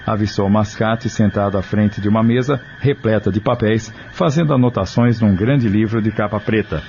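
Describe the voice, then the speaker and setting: A man's voice: fairly low pitch, moderate pace, a little mellow, a little hiss or hum. A man reading scripted narration for an audio novel. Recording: dull lo-fi radio drama sound.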